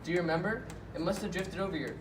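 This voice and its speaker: Man playing quick-talking clever rabbit, echoey room. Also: high voice, lightly acted. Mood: eager. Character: clever, observant male rabbit with practical ideas. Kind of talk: amateur student puppet show dialogue. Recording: echoey, noisy room, far from mic.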